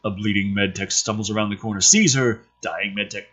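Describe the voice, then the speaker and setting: A man with a slightly rough voice reading a script aloud dramatically, dropping in sardonic, profane asides. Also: fairly low pitch, fairly even intonation, a little fast, sharp tone, muffled recording. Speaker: host reading a film script aloud with commentary.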